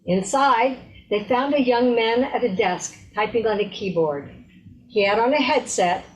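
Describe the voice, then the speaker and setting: A woman's voice: on the high side, measured pace, low-quality recording. A woman reading scripted story narration in readers theater.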